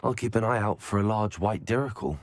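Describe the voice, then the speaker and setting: An actor playing a polite, helpful young wizard student, the hero of a video game, speaking in an earnest, measured way. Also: male voice, on the low side, dry recording, lightly acted. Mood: courteous, concerned. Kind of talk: video game protagonist dialogue. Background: background music.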